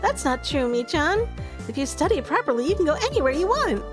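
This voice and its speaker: A woman's voice: high voice, very animated, fairly quick, music in the background, pitched above the performer's own voice. A woman voicing a sweet, earnest young schoolgirl in a cutesy, eager way for a story game.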